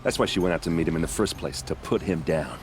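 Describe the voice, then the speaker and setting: An actor playing a blunt, self-deprecating warrior captain in a video game cutscene, talking in a casual, wry way. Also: male voice, low voice, animated, fast, over music. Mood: reflective, rueful.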